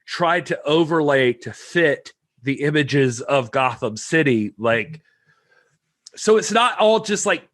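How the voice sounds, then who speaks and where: A man's voice: fairly high pitch, lively intonation, slow pace, a bit bright, dry recording, studio quality. A man co-hosting a casual film podcast, rambling with lots of fillers and self-deprecating asides. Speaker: podcast co-host.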